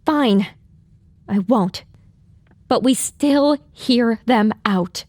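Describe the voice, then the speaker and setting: Woman as a high-voiced, feisty little pony. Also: extremely slow, darkish tone, dry recording. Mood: sarcastic, determined. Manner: feisty, argumentative, sarcastic. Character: small, headstrong, curious young pony mare adventurer. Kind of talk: audio drama acting.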